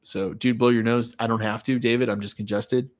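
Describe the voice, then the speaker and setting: A man's voice: medium pitch, little pitch movement, a little fast. A man in his thirties with a stuffy, congested voice from a cold, hosting a casual live stream and answering viewer comments. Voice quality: nasal, stuffy. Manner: loose and chatty, reads viewer comments aloud and answers them. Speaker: YouTuber hosting a live watch party.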